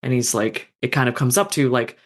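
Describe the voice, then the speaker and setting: Author guest, casual podcast chat, meandering, many fillers, mid pitch. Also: fairly quick. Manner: thoughtful, meandering, frequent fillers and restarts. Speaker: interviewed fanfiction author.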